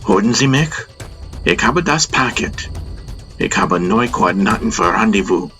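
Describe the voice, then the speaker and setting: A man's voice: lowish voice, animated, moderate pace, pushed and bright, faint music behind, pitched above the performer's own voice. A man voicing a stranded soldier pilot in an audiobook, making urgent, clipped, repeated calls into a radio.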